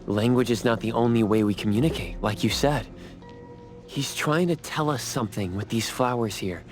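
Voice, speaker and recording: An actor voicing a focused male team member in a video game, speaking in a measured, deliberate way. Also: medium pitch, faint music behind, close to an everyday voice.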